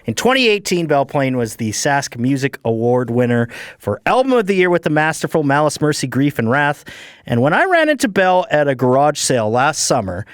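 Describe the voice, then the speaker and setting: A man with a Canadian accent hosting a radio show, reading an introduction and asking interview questions in an upbeat, clear way. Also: fairly high pitch, big pitch swings, fairly quick, dry recording, clean studio sound. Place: radio studio. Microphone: studio microphone.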